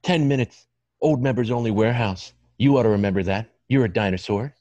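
A man's voice: fairly low pitch, brisk, dark tone, dry recording, natural voice. A man playing a cocky, laid-back hippie male model with a slangy, dismissive drawl in an amateur comedy table read on a video call. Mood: smug, taunting. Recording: dull, dry video-call sound.

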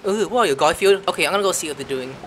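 A woman gaming streamer giving casual, joking, excitable reactions during a playthrough. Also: on the low side, racing, heavy background noise. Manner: excitable, joking, reads dialogue choices aloud. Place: indoor room with posters on the wall. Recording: game music behind the voice.

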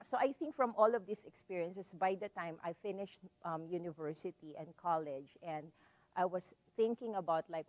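A middle-aged woman from the Philippines with a Filipino accent, giving a public lecture in a library hall and telling her story in a measured way with many "so"s and "um"s. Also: lowish voice, a little mellow. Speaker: visiting lecturer. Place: library hall with a seated audience.

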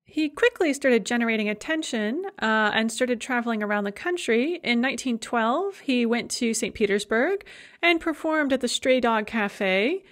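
Woman lecturer with a slightly high voice. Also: animated, normal speed, fairly bright tone. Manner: conversational, frequent "uh" fillers. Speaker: university lecturer. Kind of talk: recorded slide lecture.

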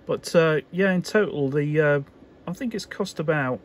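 A man giving a casual, unscripted workbench demonstration in halting, hesitant phrases, as an electronics hobbyist would. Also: a little high. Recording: clear background noise.

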